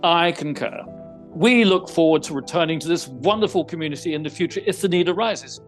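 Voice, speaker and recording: An actor playing a composed, courteous private detective in an audio theater mystery, speaking in formal, precise, theatrical phrases. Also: male voice, fairly high pitch, animated, a little fast, soft background music, natural voice.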